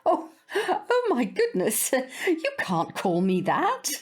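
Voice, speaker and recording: A woman's voice: high-pitched, huge pitch swings, takes it slowly, slightly rough, full character voice. An actress playing a prim older neighbour woman in an audio drama, proper, hesitant and genteel. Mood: hesitant, grateful.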